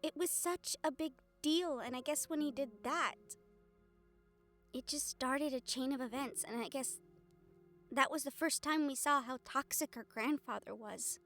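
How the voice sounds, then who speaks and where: A woman playing a troubled, emotive newborn vampire and former hunter in an audio roleplay, speaking with sighs and pauses. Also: high voice, fairly bright tone, soft background music. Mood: wistful, subdued. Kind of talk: dramatic audio roleplay acting.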